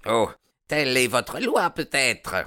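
Casting: male narrator as sullen, indignant villain in a crime storybook, forceful tone, pitched up from a low voice. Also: sing-song, takes it slowly, heavily acted. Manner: sharp, defiant, indignant. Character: furious, resentful male lodge brother, sullen rival.

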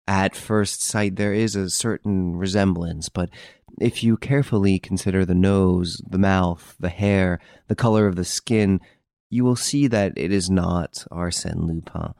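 A man voicing a grave police detective giving solemn, deliberate testimony in a storybook courtroom. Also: low voice, a little mellow, no echo, clean studio sound. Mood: deliberate, certain.

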